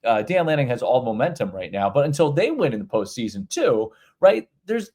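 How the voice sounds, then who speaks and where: A man's voice: medium pitch, very animated, a little fast, a little mellow. A middle-aged man co-hosting a podcast, talking college football casually with many restarts and repeated words. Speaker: podcast co-host. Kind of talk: casual sports talk.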